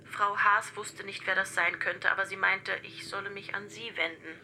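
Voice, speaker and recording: A businesslike police inspector in a radio drama. Her voice is very bright and forceful, her manner polite yet insistent. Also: mid-range voice, fairly even intonation, normal speed, a little hiss or hum.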